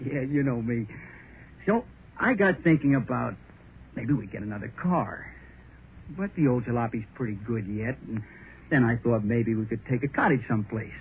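Guy acting a nervous excited young american husband, slangy rambling, old radio play, thin sound w some hiss. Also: medium pitch, moderate pace, lightly acted. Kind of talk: 1930s radio drama acting. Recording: scratchy, tinny 1930s radio recording.

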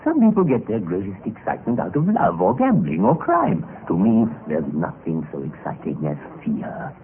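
Jaded infirm gentleman in radio play, very animated urbane delivery, savours fear, thin hissy old recording. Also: lowish voice, moderate pace, phone-quality sound. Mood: confiding, relishing. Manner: urbane, precious, relishing turns of phrase. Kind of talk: old-time radio drama acting. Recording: band-limited vintage radio recording with hiss.

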